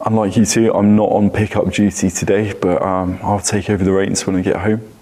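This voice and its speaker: A man talks casually in a group discussion. His voice is fairly low and mellow, with a lot of gravelly creak. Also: little pitch movement, fairly quick. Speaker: panel participant. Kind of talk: casual personal chat.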